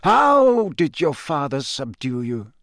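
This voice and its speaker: A man voicing an elderly male wizard headmaster, measured and soft-spoken yet commanding. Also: a little high, wildly swooping pitch, no echo, clean studio sound, pitched above the performer's own voice, full character voice. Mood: gentle interrogation.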